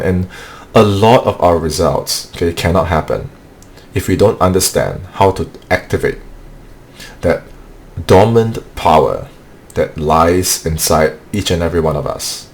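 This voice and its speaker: Deep-voiced man coaching sales. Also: normal speed, a little mellow. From Singapore. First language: not English. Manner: earnest, repetitive, peppers speech with "okay". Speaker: sales trainer hosting weekly live training. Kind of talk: motivational live-stream training.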